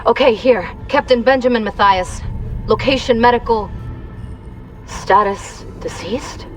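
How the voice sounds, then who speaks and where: Actress as anxious spaceship tech over music. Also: female voice, a little high, measured pace, natural voice. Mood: tense. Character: sharp, anxious female technical specialist on a stricken spaceship. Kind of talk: dramatic video game acting.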